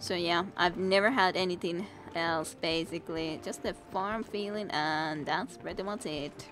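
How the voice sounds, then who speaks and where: A woman's voice: lowish voice, fairly even intonation, a little slow, fairly bright tone, faint music behind. A young woman streaming a role-playing game, chatting casually with viewers, laughing often and teasing them. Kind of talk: casual game stream chat, reading dialogue aloud.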